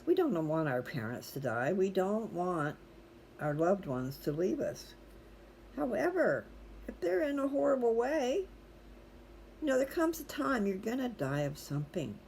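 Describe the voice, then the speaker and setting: A mellow, relaxed voice with a folksy way of talking to viewers. The host rambles casually from one aside to the next, over a faintly noisy home-style recording. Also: mid-range voice, a little slow, gravelly. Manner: chatty, folksy, digressive. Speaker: host reading scripture aloud for viewers.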